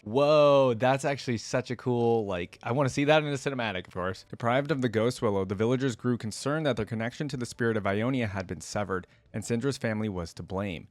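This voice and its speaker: A man's voice: on the low side, dry recording. A man hosting reaction videos, talking informally and conversationally as he comments and reads aloud. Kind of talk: casual reaction commentary mixed with reading aloud.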